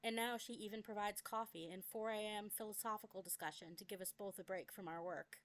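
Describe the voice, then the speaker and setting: A young adult woman reads her own memoir aloud as a scripted dramatic narration, with a deliberate, expressive delivery. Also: mid-range voice. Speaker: memoir author reading own work.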